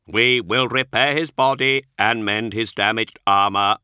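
A harsh, atonal synthetic voice of a male tech priest, as a man reads him, slow and clipped, every word carefully spaced. It is set in a dry, close audiobook recording with a bright edge. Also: fairly low pitch, steady tone, smooth, dry recording, pitched above the performer's own voice. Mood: measured. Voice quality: harsh, atonal, strangely inflected. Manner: slow, clipped, precise delivery.

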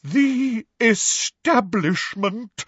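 Storybook seer-teacher, older woman played by a man: shrill, throbbing, self-pitying grandeur, wildly swooping pitch. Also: animated, very slow, a bit bright, breathy edge, no room sound, highs cut off, heavily acted. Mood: dramatic, mournful. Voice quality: high-pitched, wavering, throbbing. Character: hysterical, theatrical older female divination teacher.

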